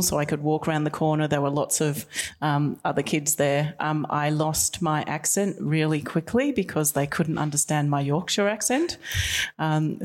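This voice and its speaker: Low-voiced woman, thoughtful and measured. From England. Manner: thoughtful, with frequent ums and restarts. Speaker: guest on a school radio show. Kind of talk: reflective interview answers. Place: school radio studio.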